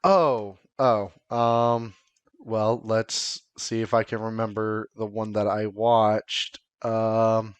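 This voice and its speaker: Male podcast co-host, smooth clear voice, dry close sound, casual and halting, thinking out loud. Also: on the low side, little pitch movement, dry recording. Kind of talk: casual podcast chat among friends.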